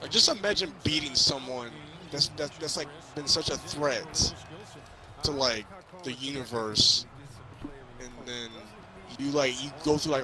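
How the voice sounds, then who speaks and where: A man's voice: medium pitch, poor audio. Rambling young man with chatter behind. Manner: rambling, repetitive, heavy use of "like". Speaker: co-host of a movie review. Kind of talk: casual fan chat about a movie.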